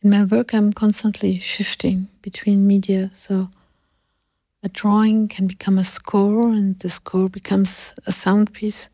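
A woman speaking English as a second language in a very mellow, relaxed voice, close and dry. They take their time over reflective talk about art, halting to find the right word. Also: mid-range voice, no room sound. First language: not English. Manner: halting, searching for words. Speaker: artist in conversation.

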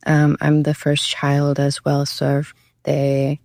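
A young woman from Canada whose first language is Cantonese, talking about her art in a hesitant, reflective way with many pauses and fillers. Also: low-pitched, monotone, creaky, darkish tone, dry recording, clean studio sound. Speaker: artist. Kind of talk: casual conversation.

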